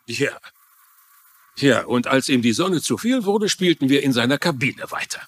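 A man's voice: mid-range voice, animated, normal speed, close to an everyday voice. A man playing a defensive ship passenger under questioning in a dubbed crime drama.

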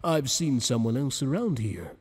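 A man's voice: medium pitch, lively intonation, a little mellow, husky, long reverb tail. A man voicing a teasing, possessive monster boss. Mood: flirtatious. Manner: teasing, flirtatious, slow and intimate. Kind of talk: ASMR roleplay.

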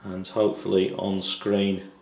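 A man's voice: deep voice, completely flat delivery, a little slow, vocal fry, darkish tone, a little hiss or hum. A man giving an unscripted technical demonstration in a hesitant, matter-of-fact way, recorded indoors on a camcorder microphone. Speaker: electronics hobbyist presenting a project. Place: indoors in a small tiled kitchen-like room. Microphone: camcorder microphone behind the camera. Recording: echoey small room.

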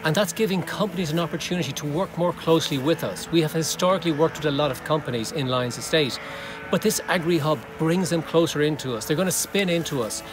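A man, a professor giving a brisk outdoor talk to prospective students from a cow pasture. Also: fairly high pitch. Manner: brisk, list-like explaining. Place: open pasture outdoors with cows around. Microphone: phone or camera held close at selfie range. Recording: music bed under the voice.

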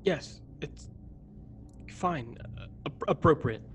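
A man's voice: on the high side, expressive, slow pace. A man playing a reserved, hesitant young ensign on a starship in a radio play. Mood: reluctant. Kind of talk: radio play acting over video call. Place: dark indoor room. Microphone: desk microphone, headphones, video call. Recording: background noise on video call.

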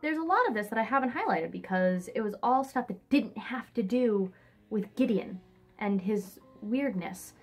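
A woman's voice: on the high side, expressive, moderate pace. Wry, theatrical young woman over background music. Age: young adult. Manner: wry, sarcastic, theatrical, swears freely. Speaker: book reviewer, host. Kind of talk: casual comic book recap with read-aloud excerpts. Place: indoors, small room in front of a hung sheet. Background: classical music.

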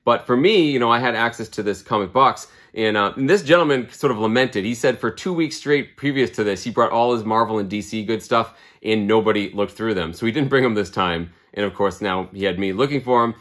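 A man's voice: fairly low pitch, brisk, hardly any pauses, a bit bright. A man in his thirties, hosting a casual comic book video blog, talking upbeat and chatty with lots of fillers in a small room. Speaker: comic book channel host. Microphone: camera at close range.

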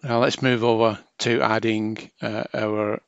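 A man's voice: lowish voice, level tone, unhurried, vocal fry, smooth, no echo, lo-fi sound. A man narrating a hands-on technical tutorial as a trainer, explaining informally step by step.

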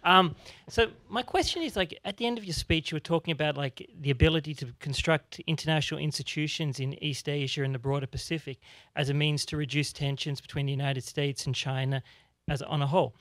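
A young man with an Australian accent asks a rambling question, stopping often on "um" and "like". His voice sits fairly high. The sound is dry, with little room echo. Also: fairly even intonation, a little fast, a bit bright. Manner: hesitant, rambling with fillers. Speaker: audience member asking a question. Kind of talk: question from the audience.

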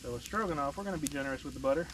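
A man's voice: a little high, very fast, noisy background. A man in his thirties casually vlogging outdoors at a snowy campsite, chuckling and talking as he works over a fire pit, recorded by a camera a few feet away. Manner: laid-back, chuckling, talks while working. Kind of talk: casual camp cooking vlog. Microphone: camera on a tripod a few feet away. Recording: outdoor ambience and sizzling fire.

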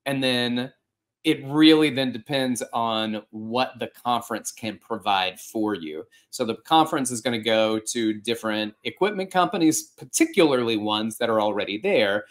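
A man in his forties hosting a fitness podcast from a home room, giving casual practical advice on a video-call style setup with lively gestures. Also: mid-range voice, normal speed. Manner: animated, gesturing, with frequent restarts. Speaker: podcast host and fitness instructor. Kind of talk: casual instructional talk. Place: home room with a couch and art.

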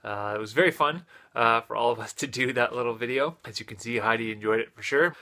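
A man in his thirties speaks into a phone held at arm's length in a small hotel room. His voice has a bright, forward edge, pitched in the middle, and he talks loosely, pausing on fillers. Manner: relaxed, with frequent "uh" fillers. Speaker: vlogger and father. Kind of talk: casual vlog to camera. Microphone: phone camera at arm's length.

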